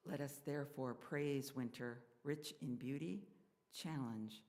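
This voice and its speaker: A man's voice of medium pitch carries through a hall with a long reverb. He leads a service, reading and introducing to the congregation in measured, formal phrases. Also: a little mellow. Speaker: service leader introducing the guest speaker. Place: church hall.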